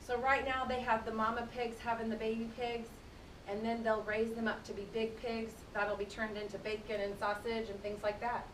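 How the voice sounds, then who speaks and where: A woman reads aloud to a classroom of young children, pausing to explain things in simple, conversational terms. Her voice sits fairly high and sounds distant in an echoing room, with a low rumble of noise behind her. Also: little pitch movement. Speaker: teacher reading aloud to children. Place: indoor room, speaking to a class.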